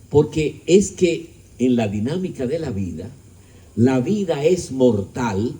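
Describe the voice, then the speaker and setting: A middle-aged man lecturing a live audience on philosophy. His voice is very dark and mellow, with middling pitch. He leans into words with shifting pitch and loudness, tosses out rhetorical questions and drops in a joke now and then. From Dominican Republic. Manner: emphatic, shifting pitch and volume. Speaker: speaker at a discussion gathering.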